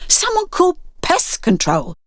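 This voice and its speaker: A woman's voice: very high voice, wildly swooping pitch, takes it slowly, no echo, studio quality, voice pushed up, full character voice. A woman voicing a cheeky, brave teenage boy with blunt, slangy exclamations in an audiobook. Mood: incredulous.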